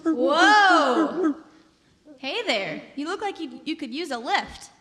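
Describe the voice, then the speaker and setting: A woman's voice: sits high, lively intonation, slow, sharp tone, heavily acted. A woman acting a cheerful, friendly sled-dog musher from Quebec in an old-time radio sketch, on a reverberant theatre stage. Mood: cheerful, helpful.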